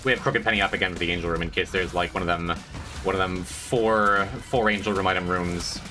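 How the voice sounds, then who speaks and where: A man's voice: steady tone, fairly quick, bright, forceful tone, faint music behind. A man streaming a video game, rambling to his chat in a self-mocking way with a stuffed-up, congested voice. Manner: rambling, self-mocking, breaks into high squeaky jokes. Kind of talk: casual gameplay commentary to chat.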